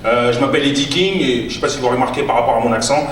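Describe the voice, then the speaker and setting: A young man with an accent from the Paris suburbs performing stand-up, telling stories with animated, mocking impressions. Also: mid-range voice, very fast, a little hiss or hum. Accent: French from the Paris suburbs. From France. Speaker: stand-up comedian. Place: comedy stage. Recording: echoey stage sound from far off.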